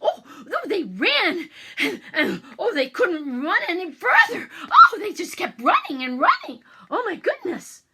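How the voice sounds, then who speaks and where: A woman narrating a children's bedtime story in a theatrical, expressive manner, as a storyteller. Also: very high voice, measured pace. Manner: theatrical, expressive, with exclamations and asides.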